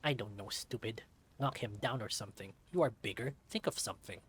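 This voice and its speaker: A man's voice: lowish voice, fairly quick, fairly bright tone, slightly rough. A man voicing a villainous creature boss in a visual novel, cold, commanding and impatient. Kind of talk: visual novel character acting.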